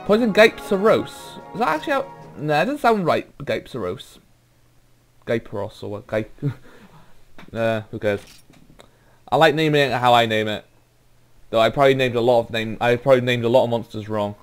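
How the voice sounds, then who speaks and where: A man's voice: medium pitch, animated, brisk, pauses often, faint music behind. A young Welsh man giving rambling, laid-back let's play commentary, trailing off with ums as he goes through menus. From Wales. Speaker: gaming YouTuber.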